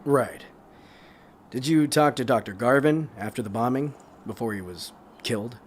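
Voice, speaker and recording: Actor as male investigator, mid-pitched, measured, courteous and quietly persistent, audio drama over clear ambient noise. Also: natural voice. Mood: probing. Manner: measured, soothing, polite. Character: gentle, persistent male government investigator, calmly probing. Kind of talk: naturalistic audio drama acting.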